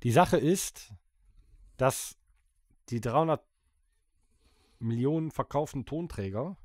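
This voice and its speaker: A man's voice: mid-range voice, animated, slow pace, a little mellow, no echo, studio quality. A man co-hosting a podcast, musing and reacting in casual chat. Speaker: podcast co-host.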